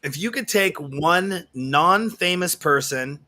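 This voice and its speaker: Male host with a bright, slightly high voice, reads viewer questions in a casual chat. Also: expressive, slow. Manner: friendly, reads viewer questions, loose rephrasing. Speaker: interview host.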